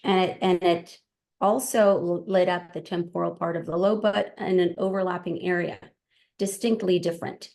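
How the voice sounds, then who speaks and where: A woman's voice: on the low side, darkish tone. A woman in her forties from Queens, New York, answering interview questions thoughtfully, with frequent ums and restarts. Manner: thoughtful, hesitant, many restarts and fillers. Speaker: interview guest. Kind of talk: casual interview.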